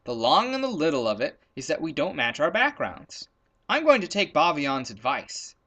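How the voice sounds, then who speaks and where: Man playing a bossy storybook hunter, very animated. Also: a little high, heavily acted. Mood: decided. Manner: brisk, instructive. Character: practical, bossy, persuasive Ethiopian hunter man. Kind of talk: storybook character voice in a read-aloud.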